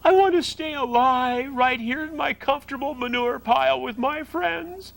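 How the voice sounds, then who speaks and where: A woman voicing a young male pig who is anxious and easily frightened, pleading in a high, panicky way. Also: moderate pace, gravelly, poor audio. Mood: panicked. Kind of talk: storybook read-aloud.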